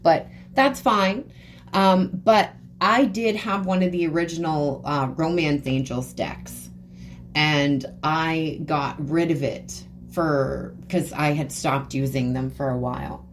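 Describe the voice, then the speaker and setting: A woman hosting a podcast, talking in a relaxed, agreeable way in casual conversation. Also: sits low, slow, a little hiss or hum. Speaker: podcast host.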